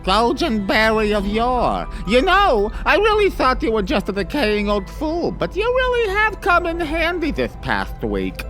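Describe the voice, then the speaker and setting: A vain, bullying space king, childish and imperious. The voice swoops wildly between menace and whining, with music clearly playing underneath. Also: mid-range voice, huge pitch swings, a little slow, few pauses, a bit bright. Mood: smug, commanding. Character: petulant, vain, bullying galactic tyrant king. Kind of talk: comic sci-fi audio drama acting. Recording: music behind the voice.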